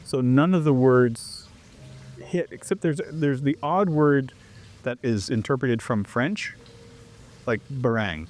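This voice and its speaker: Man, lively storytelling guest. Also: mid-range voice, slow pace. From Canada. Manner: animated storyteller who acts out quoted exchanges. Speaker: interview guest, foreigner living abroad. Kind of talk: casual interview chat.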